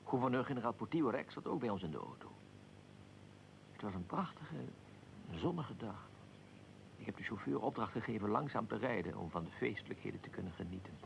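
An actor playing a dignified archduke in a radio drama, recounting events in a measured, formal, deliberate way. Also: male voice, fairly low pitch, lively intonation, vocal fry, a little hiss or hum, poor audio. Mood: composed. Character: archduke, dignified aristocrat recounting his own assassination. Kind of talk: radio drama, recollection in interview form.

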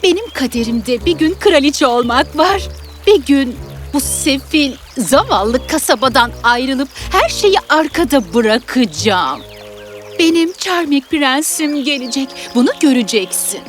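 A woman performs a spoiled, self-admiring young girl from a storybook fairy tale. Her voice is high and very melodic, drawn out slowly with haughty disdain, over soft background music. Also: sing-song. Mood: scornful, grandiose. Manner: haughty, dismissive, drawn-out delivery. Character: vain, rude, haughty young village girl dreaming of queenhood. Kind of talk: children's fairy tale audio acting.

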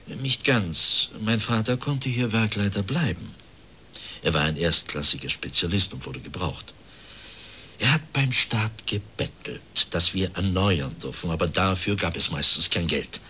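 A man's voice: low voice, lively intonation, measured pace, pushed and bright, slight background noise, exaggerated voice. An actor playing a resigned factory director in his fifties, speaking formally and plaintively in a radio drama. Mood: bitter, resigned. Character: bitter, resigned plump factory director of fifty-one.

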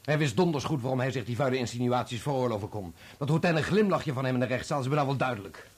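A betrayed husband in a radio play, bitter and proud. His mid-pitched voice is rough and moves sharply up and down. He accuses with wounded sarcasm. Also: expressive, fast talker. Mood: sullen. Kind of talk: Dutch radio play acting.

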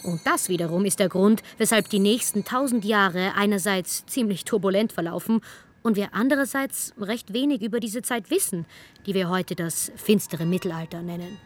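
A woman reading scripted dramatic storytelling narration in a measured way with emphatic pauses. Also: mid-range voice. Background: music.

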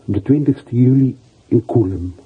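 An actor playing a weary, regretful middle-aged former soldier over a telephone in a radio play, subdued, in halting short phrases. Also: male voice, mid-range voice, husky. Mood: sombre. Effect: telephone voice filter. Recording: muffled phone-like old radio recording.

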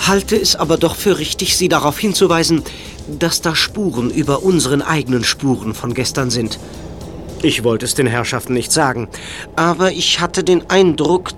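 A man's voice: fairly high pitch, lively intonation, normal speed, soft background music, close to an everyday voice. A man playing a deferential chauffeur in an audio drama, speaking with stiffly polite, butler-like formality. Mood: discreetly concerned.

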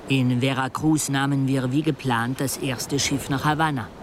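An actor playing a wry male travel companion who recounts an expedition in a radio drama with measured storytelling delivery. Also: mid-range voice, fairly even intonation, a little hiss or hum, natural voice. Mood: measured, recounting. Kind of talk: radio drama narration and dialogue.

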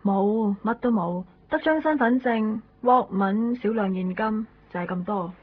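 A woman playing a brisk, businesslike emergency medical worker in a Hong Kong Cantonese radio drama from the 1990s. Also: mid-range voice, close to an everyday voice. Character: brisk, businesslike female ambulance and hospital worker. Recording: dull old radio recording.

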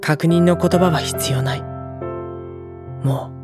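An actor playing a gentle, earnest high school boy who speaks and narrates sincerely in an audio drama. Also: steady tone, slow, over music. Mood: reflective, tender.

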